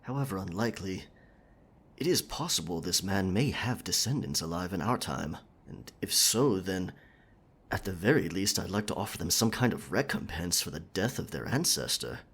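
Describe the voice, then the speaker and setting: A man's voice: sits low, lively intonation, normal speed, a bit bright, slightly rough, full character voice. A man voicing an earnest, courteous royal knight in a scripted roleplay audio, speaking formally and chivalrously. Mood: regretful. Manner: formal, chivalrous, wordy speech.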